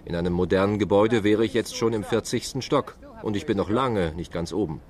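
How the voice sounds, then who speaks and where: A man's voice: fairly low pitch, brisk, a little mellow. A man giving explanatory commentary in a documentary. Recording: crowd noise behind the voice.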